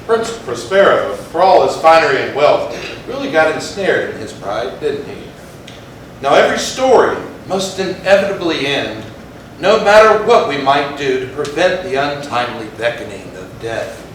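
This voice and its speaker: A man's voice: fairly high pitch, big pitch swings, moderate pace. A man presenting on stage, speaking theatrically to his audience as he links stories. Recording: echoey hall, distant mic, faint noise.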